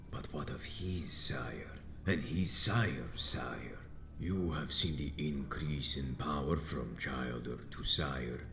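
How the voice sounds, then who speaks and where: A man's voice: extremely low pitch, vocal fry, fairly bright tone, a little hiss or hum, heavily acted. An actor playing an ancient, stern male vampire sect elder in a video game, speaking in a grave, formal and imperious way. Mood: solemn, condescending. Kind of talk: theatrical video game dialogue. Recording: roomy echo with game music behind.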